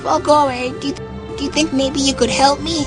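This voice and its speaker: A woman plays a little boy in a dubbed anime, high in pitch and earnest. He is timid yet brave, with clear music playing behind him. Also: fairly even intonation, moderate pace, natural voice. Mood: earnest, determined. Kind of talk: anime English dub acting.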